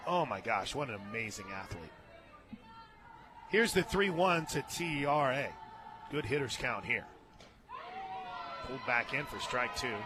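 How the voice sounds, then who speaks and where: An American man doing live play-by-play radio commentary on softball, chatting between the calls. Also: mid-range voice, animated, measured pace, long pauses, a crowd in the background. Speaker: play-by-play announcer. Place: outdoor stadium radio booth.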